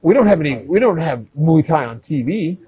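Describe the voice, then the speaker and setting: Brief: man in his thirties, slightly higher husky mellow voice, casual slangy host firing eager questions. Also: sing-song, fairly quick, rough recording. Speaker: podcast host. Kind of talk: casual interview. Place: boxing gym, seated in ring.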